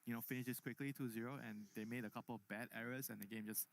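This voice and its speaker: A man's voice: mid-range voice, steady tone, fast talker, creaky. A man working as an esports analyst on a live desk, repeating himself as he starts his thoughts.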